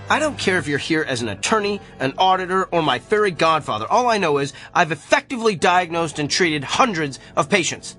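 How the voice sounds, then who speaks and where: A man's voice: a little high, bright, forceful tone. A man acting in a radio drama, playing an arrogant, self-assured and brusque doctor. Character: brilliant, arrogant, tactless male doctor. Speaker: actor.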